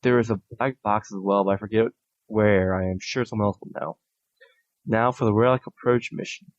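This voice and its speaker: A man hosting a podcast, reading listener submissions aloud in a steady, plain way with small restarts. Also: fairly low pitch, brisk, no echo. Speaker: podcast host. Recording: dull lo-fi audio.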